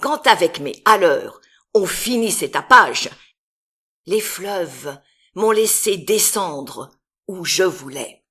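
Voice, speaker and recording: A woman giving a dramatic, expressive recitation of literary poetry, with deliberate phrasing and long pauses between phrases. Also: fairly low pitch, breathy edge. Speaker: poetry reader.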